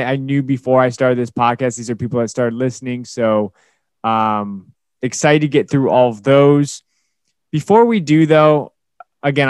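A young man hosting his own podcast, talking casually alone, a little hoarse and tired, rambling with fillers and restarts. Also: mid-range voice, dry recording. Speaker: podcast host. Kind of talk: casual solo podcast talk.

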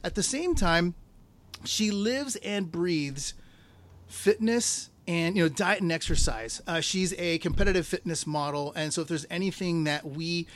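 A man's voice: a little high, lively intonation, measured pace. A man hosting a health podcast, talking casually and upbeat with lots of fillers like "like" and "you know". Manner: upbeat, filler-heavy, salesy. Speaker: podcast host. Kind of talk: casual interview and promo.

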